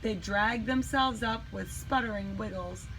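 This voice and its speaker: A woman reading a children's storybook aloud in an animated, dramatic way with deliberate emphasis. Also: medium pitch, soft background music, rough recording. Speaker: teacher reading a picture book.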